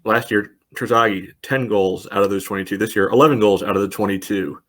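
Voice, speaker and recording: A man co-hosting a casual sports podcast, dry and wry, reeling off stats at a steady clip. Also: lowish voice, a little fast. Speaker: podcast co-host.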